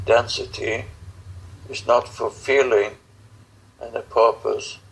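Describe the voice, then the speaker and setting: Very slow, gravelly man, halting delivery. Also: on the low side, low-quality recording. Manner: halting, solemn, broken phrases with long pauses. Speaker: channeler speaking as star beings in a guided session. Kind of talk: channeled spiritual message.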